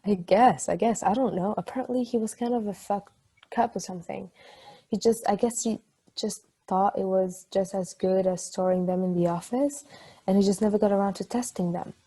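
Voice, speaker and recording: A woman playing a chatty partner in a table read, telling an anecdote in a rambling, conversational way with a slightly husky voice. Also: mid-range voice, normal speed, mellow. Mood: amused storytelling.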